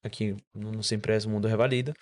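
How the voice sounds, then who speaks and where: A man's voice: fairly low pitch, level tone, brisk. A man whose first language is Spanish, speaking as a doctor guest on a casual podcast and explaining things with frequent self-corrections.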